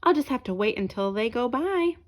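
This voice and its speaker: A woman's voice: fairly high pitch, animated, fairly quick, lightly acted. A woman voicing a patient male street cap peddler in a children's storybook. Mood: resigned, patient. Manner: calling out wares, sighing resignedly.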